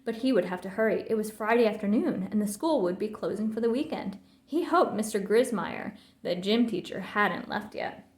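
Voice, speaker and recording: A woman reading a children's story aloud in an expressive, theatrical way. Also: medium pitch, normal speed. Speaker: storybook reader. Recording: roomy, echoing sound.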